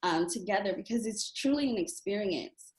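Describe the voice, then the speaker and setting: Upbeat woman host with lively pitch. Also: normal speed, reverberant. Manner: bright, upbeat, welcoming. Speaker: club president and host. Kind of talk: hosting an online reading series interview.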